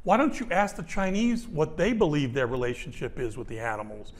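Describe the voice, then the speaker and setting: Passionate older man, fairly high voice. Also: brisk. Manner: passionate, emphatic and argumentative; gestures broadly while talking. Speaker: expert guest. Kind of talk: studio interview. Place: small indoor studio.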